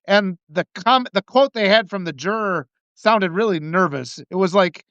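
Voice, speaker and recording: A man from Wisconsin hosting a casual political talk, animated and swearing, acting out the people he quotes. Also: high voice, normal speed, dry recording. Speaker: host. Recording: muffled lo-fi sound.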